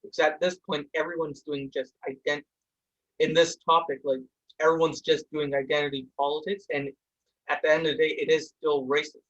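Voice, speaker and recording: A man's voice: fairly high pitch, flat delivery, a bit bright, highs cut off. A young man hosting a podcast from a room at home, talking heatedly with many restarts. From India. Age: young adult. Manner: heated, talks fast with restarts. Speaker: podcast host. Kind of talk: casual discussion.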